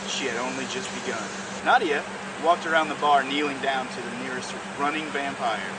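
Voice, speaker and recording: A man's voice: mid-range voice, a little fast. A man reading a comic story aloud with casual, amused banter, often cracking up as he goes. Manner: breaks into laughter while reading. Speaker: co-host reading a mad-libs story aloud. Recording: very noisy, distant mic, steady background hum.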